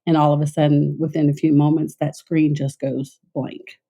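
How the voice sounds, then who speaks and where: Mellow-voiced woman, former air traffic controller. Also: sits low, little pitch movement. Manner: measured, frequent fillers and restarts. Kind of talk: conversational interview storytelling.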